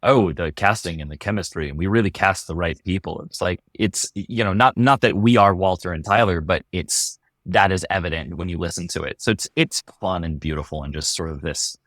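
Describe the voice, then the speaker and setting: A man's voice: sits low, a little fast, few pauses, fairly bright tone, no room sound, clean studio sound. A man chatting casually in an interview, speaking in an effusive, earnest way. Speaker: audio drama cast member being interviewed.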